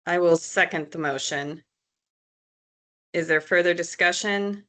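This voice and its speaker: A woman's voice: fairly low pitch, measured pace, fairly bright tone. A woman chairing a public commission meeting, speaking in a formal, procedural manner.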